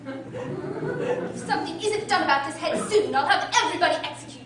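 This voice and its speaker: Imperious Queen, high piercing voice, commanding, furious, radio play, roomy distant recording. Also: expressive, brisk, crowd noise. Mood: impatient anger. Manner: commanding, shouting. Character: furious, imperious storybook Queen who orders beheadings. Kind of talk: radio drama acting.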